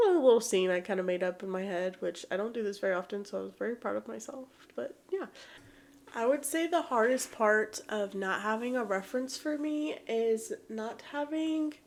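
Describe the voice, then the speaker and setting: A woman's voice: medium pitch, a little mellow. A young woman hosting an art vlog, chatting casually while she paints, sounding a little congested from allergies, rambling and self-deprecating. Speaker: art channel host.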